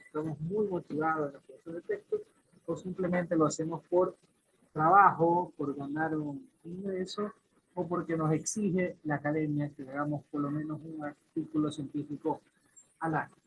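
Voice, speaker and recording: A man teaching a class in a loose, chatty lecture, his voice leaping between high and low. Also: animated, moderate pace, slightly rough, rough recording.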